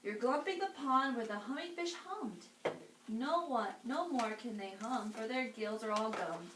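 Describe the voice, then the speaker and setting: A woman voicing a small, grumpy creature with a croaky voice who scolds a polluter for harming the animals. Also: expressive, measured pace. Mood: indignant. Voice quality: raised pitch, coughing and croaky. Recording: roomy, distant, faint room noise.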